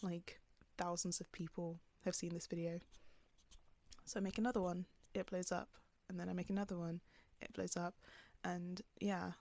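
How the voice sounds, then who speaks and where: Gravelly-toned young woman vlogging. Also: on the low side, normal speed, no room sound. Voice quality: calm, soft voice. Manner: rambling, filler-heavy with many "like"s. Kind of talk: casual talk to camera. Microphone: camera on the speaker.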